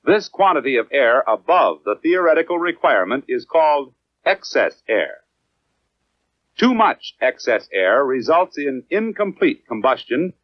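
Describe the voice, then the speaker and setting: An American man reads scripted 1950s educational film narration in a measured, clearly enunciated, instructional manner. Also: medium pitch, dry recording. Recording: dry, dull lo-fi 1950s film soundtrack.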